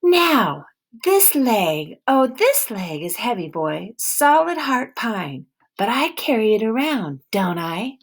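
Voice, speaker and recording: A woman reading the part of an eccentric, sharp-tongued middle-aged woman with a wooden leg, who probes and mocks as she speaks. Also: fairly high pitch, sing-song, slow, full character voice. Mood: mocking. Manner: mocking, probing, sharp-tongued.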